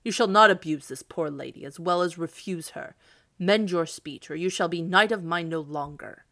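A woman reading aloud, voicing a stern, dignified male king who speaks in a measured way. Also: lowish voice, fairly bright tone, no echo, clean studio sound.

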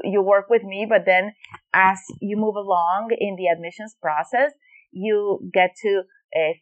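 A woman's voice: medium pitch, dry recording, phone-quality sound. A woman from Venezuela working as an educational consultant, explaining her advice in a podcast interview with some fillers and restarts.

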